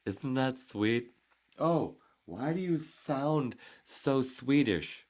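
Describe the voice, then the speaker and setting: A man's voice: medium pitch, animated, takes it slowly, darkish tone, pitched above the performer's own voice. A man voicing a teasing, sing-song male heckler who mocks him in exaggerated tones.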